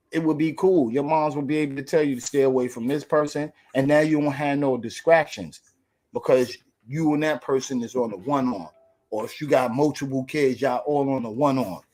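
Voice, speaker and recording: Animated New podcast co-host. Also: male voice, mid-range voice, normal speed, low-quality recording. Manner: rambling, animated, slangy. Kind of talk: casual comedic chat.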